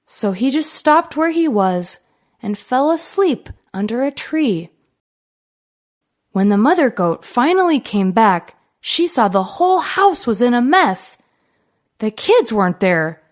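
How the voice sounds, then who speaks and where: A woman reads a children's fairy tale at an unhurried pace. Her voice is fairly high and very animated, with deliberate, expressive storytelling phrasing.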